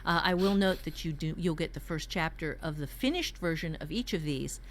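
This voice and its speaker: A woman's voice: deep voice, fairly quick. A woman teaching a writing workshop in a conversational way, candid and joking as she explains. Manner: candid, jokey, mildly profane.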